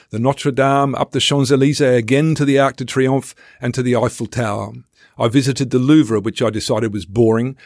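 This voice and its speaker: Man, mid-pitched voice, wry self-deprecating storyteller, scripted memoir narration, measured phrasing, dry close-miked studio sound. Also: a little mellow, no echo. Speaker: podcast host and memoir narrator. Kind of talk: scripted first-person travel memoir narration. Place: podcast recording studio. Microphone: close studio microphone.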